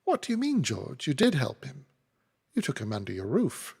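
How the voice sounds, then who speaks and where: A man's voice: mid-range voice, huge pitch swings, moderate pace, darkish tone, slightly rough, exaggerated voice. A man voicing a cheerful, motherly soldier's wife in a raised, airy voice with a wandering put-on accent. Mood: coaxing. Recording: reverberant room.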